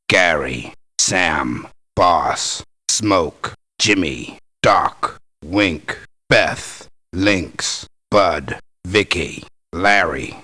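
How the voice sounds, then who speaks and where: An actor playing a blunt, cynical, tough-talking mercenary in a video game. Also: male voice, deep voice, pushed and bright, dry recording, heavily acted. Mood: flat, curt. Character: gruff, blunt male mercenary, cynical about pay. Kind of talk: video game character voice lines.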